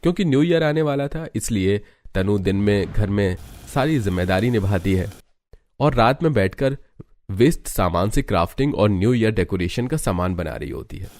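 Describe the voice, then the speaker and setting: A man's voice: lowish voice, animated, moderate pace, darkish tone. A man reading scripted narration for a family cartoon story.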